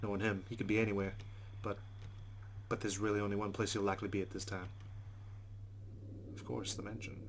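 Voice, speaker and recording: A man's voice: lowish voice, level tone, a little fast, pauses often, slight background noise. A man hosting a let's play, reading visual novel narration aloud.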